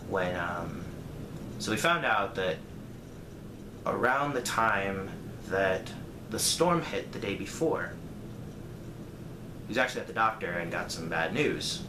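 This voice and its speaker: A man's voice: fairly low pitch, lively intonation, unhurried, long pauses. A man telling a personal story casually to viewers, acting out bits of dialogue as he goes. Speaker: storyteller vlogger. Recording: roomy echo, clear background noise.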